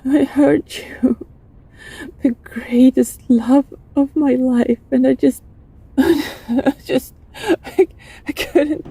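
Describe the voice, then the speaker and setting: Girl doing a lovesick ex-bully woman for audio rp, kinda high but really soft and dark, bit gravelly, halting and emotional. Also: fairly even intonation, full character voice. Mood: grieving, tearful. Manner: halting, emotional, breathy sighs and stammers. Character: lovesick time-travelling former bully, flustered, tearful, desperately devoted. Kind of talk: intimate audio roleplay acting.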